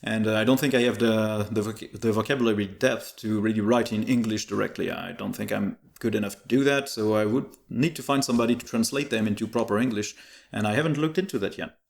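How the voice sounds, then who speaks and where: Low, gravelly-edged native French-speaking man. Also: fast talker. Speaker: interview guest, tech YouTuber. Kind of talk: casual interview chat.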